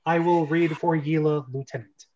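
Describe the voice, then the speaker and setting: A man with a fairly high voice hosts a casual script reading. He stumbles and corrects himself as he goes, joking along. The sound is a little muffled and lo-fi. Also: flat delivery, a little mellow, a little hiss or hum. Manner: halting, self-correcting. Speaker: host and script reader. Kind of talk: casual, joking amateur table read.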